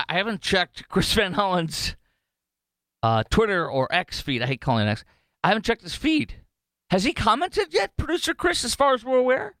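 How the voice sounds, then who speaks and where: A man's voice: high-pitched, no echo, clean studio sound. Sarcastic, jumpy radio host man. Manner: animated and sarcastic, full of fillers and restarts. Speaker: fill-in radio talk show host. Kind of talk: casual talk radio commentary.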